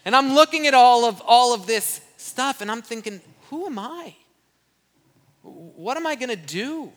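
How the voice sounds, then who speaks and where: A man's voice: very high-pitched, animated, measured pace, a bit bright. A young adult man from Brazil, a pastor giving a candid, self-deprecating missions report to his church. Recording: long reverb from a church hall.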